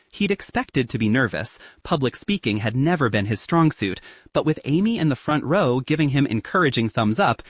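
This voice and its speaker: A man narrating a romantic story as an audiobook, reading with expressive storytelling. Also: mid-range voice, husky, dry recording.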